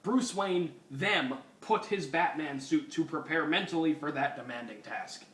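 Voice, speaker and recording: A man's voice: a little high, measured pace, fairly bright tone. A man doing a comedic dramatic reading of a story, mock-dramatic and breaking off to comment. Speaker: fan fiction reader. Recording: roomy, distant, noisy home recording.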